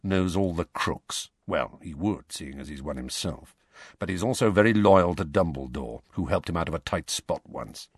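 Guy doing a brooding godfather, low muttered undertone, audiobook character. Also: expressive, moderate pace, slightly rough, no room sound, studio quality, heavily acted. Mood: muttering, confiding. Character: low-voiced, brooding, roguish godfather, adult man.

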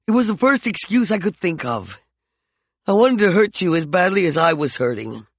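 A jealous, volatile man who mocks, rages and then pleads with heat, as a male narrator plays him. The voice is lively and gravelly, pitched a little high, and recorded dry and close. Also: moderate pace, no echo, full character voice. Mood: pleading. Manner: heated, mocking and intense.

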